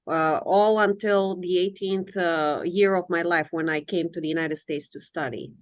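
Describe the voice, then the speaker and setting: A middle-aged woman from Romania, an immigration attorney answering interview questions in a measured, articulate, formal way. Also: on the low side.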